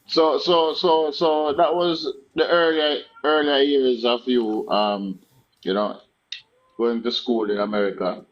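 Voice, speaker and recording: A man hosting a casual radio interview, who backs up the guest with short affirmations and asks slow, drawn-out questions. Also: mid-range voice, steady tone, lo-fi sound. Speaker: radio host.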